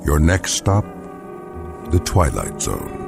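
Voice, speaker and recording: An actor narrating a dramatic anthology show in a grave, measured, portentous manner. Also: male voice, low voice, sing-song, gravelly, exaggerated voice. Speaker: host narrator. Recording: music behind the voice.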